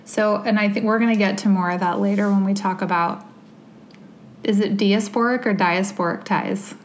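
A woman's voice: medium pitch, fairly even intonation, normal speed, a little hiss or hum. A woman hosting an interview, chatty and friendly, with self-deprecating asides as she asks her questions. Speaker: interviewer, host. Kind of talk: conversational interview.

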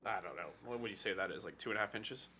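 A man on a podcast with a low yet bright, edgy voice. He chimes in with short, dry remarks, with faint room noise behind him. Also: racing. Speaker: podcast co-host. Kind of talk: casual podcast chat.

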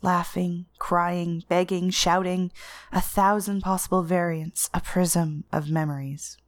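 A woman reading literary first-person fiction as narrator, with an intense, deliberate and expressive dramatic delivery. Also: on the low side, no room sound, clean studio sound. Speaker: story narrator.

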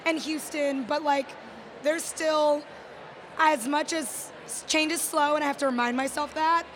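High-voiced Texas woman, rambling chat. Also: steady tone, measured pace, a bit bright, a little hiss or hum. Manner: rambling, filler-heavy. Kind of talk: casual chat.

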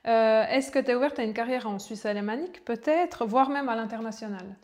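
A woman with a fairly high voice puts polite, measured questions to her guest. She leads the interview, checking in before she moves on to the next topic. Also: a little mellow.

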